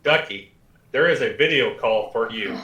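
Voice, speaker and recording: A man voicing a polite, impassive talking computer in a radio science fiction play, formal and deliberate. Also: medium pitch, roomy sound, muffled recording, music in the background, natural voice. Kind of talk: old-time radio science fiction acting.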